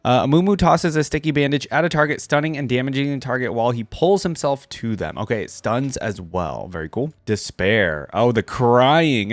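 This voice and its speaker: A man hosts a reaction video in a casual, enthusiastic way. His pitch moves in lively swings at a middle register. The sound is dry and close. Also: no room sound. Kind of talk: casual reaction commentary and expressive story reading.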